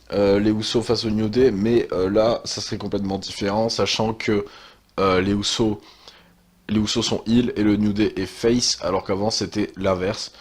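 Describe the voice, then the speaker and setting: A young man with a head cold talks in a low, husky voice. He chats loosely and hesitantly, filling pauses with sighs and fillers. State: has a cold, stuffy. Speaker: wrestling channel host. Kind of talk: casual commentary monologue.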